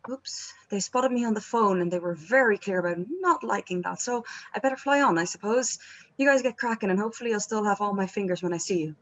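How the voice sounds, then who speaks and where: A woman's voice: mid-range voice, fast, a bit bright, close to an everyday voice. A woman playing a cheerfully unbothered band member in a comedy table read, breezy and nonchalant. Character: cheerfully unbothered bandmate phoning from danger.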